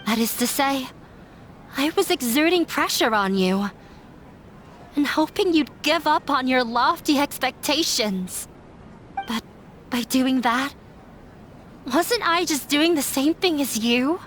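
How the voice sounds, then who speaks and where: An actress playing an earnest runaway daughter standing up to her father in a game dub, with formal, measured delivery. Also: high-pitched, animated, slight background noise. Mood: confessional. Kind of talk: dramatic game dialogue. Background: background music.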